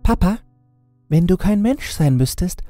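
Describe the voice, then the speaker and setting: A man voicing a curious little girl in a horror audiobook, speaking high and wide-eyed. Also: expressive, unhurried, mellow, dry recording, faint music behind. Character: curious little girl asking her father. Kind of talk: audiobook character voice.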